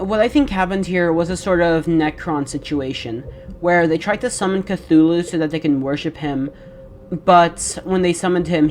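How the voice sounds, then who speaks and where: A woman with a low, deep-set voice walks through game lore in a loose, chatty way, full of fillers and restarts. Her throat sounds rough from a lingering cold. A faint hiss sits under the recording. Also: darkish tone. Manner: rambling, with many "like" fillers and self-corrections. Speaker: game lore video narrator. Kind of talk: casual lore narration over gameplay.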